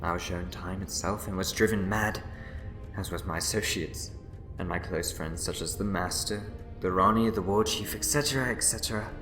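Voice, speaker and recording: A man's voice: sits low, normal speed. A man playing a smug, scheming time-travelling villain in an audio drama, speaking theatrically. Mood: grave storytelling. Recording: roomy echo, loud music behind.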